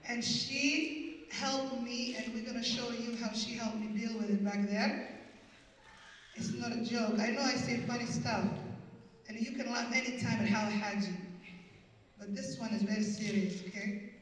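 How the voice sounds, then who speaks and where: A woman's voice: a little high, fairly even intonation, normal speed. A woman in her fifties, a comedian and storyteller, speaking live on stage. She talks with emotional, joking, broken English phrasing. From Canada. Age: 50s. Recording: echoey hall, far from mic.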